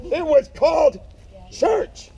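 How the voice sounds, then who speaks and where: A man speaking loudly and emphatically in a casual way, giving a eulogy at a memorial gathering. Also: very high voice, animated, takes it slowly, vocal fry. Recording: dull lo-fi sound, faint noise.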